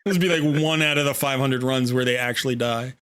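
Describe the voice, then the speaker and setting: A man's voice: medium pitch, steady tone, quick pace, a bit bright, clean tone. A man hosting a gaming livestream, chatting casually in a jokey, talkative way. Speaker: livestream host.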